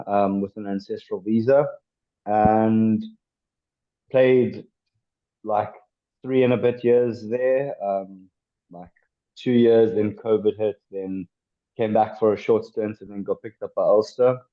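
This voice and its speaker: A South African man in his twenties talks through his past as a guest on a podcast. His voice sits on the low side and comes through a muffled, lo-fi recording. He hesitates a lot, filling gaps and restarting sentences. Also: steady tone, slow, long pauses. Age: 20s. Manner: hesitant, many ums and restarts. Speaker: podcast guest, former rugby player. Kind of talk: casual interview chat. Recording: dull lo-fi sound.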